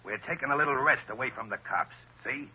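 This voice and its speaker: A gruff, raspy gangster boss. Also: male voice, medium pitch, fairly quick, bright, forceful tone, exaggerated voice. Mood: bossy. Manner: gruff, bossy, tough-guy talk. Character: tough hard-bitten gangster on the run. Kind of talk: 1930s radio drama acting. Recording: muffled old radio recording.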